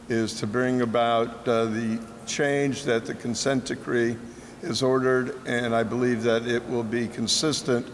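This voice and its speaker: A man, a returning commission member, giving a deliberate formal statement. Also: mid-range voice. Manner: flat, deliberate. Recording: echoey hall with faint noise.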